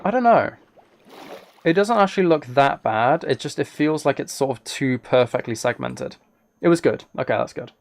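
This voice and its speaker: A man's voice: mid-range voice, animated, normal speed, creaky. A man chatting casually as a live streamer, thinking aloud while he plays a game. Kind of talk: casual gaming livestream chat.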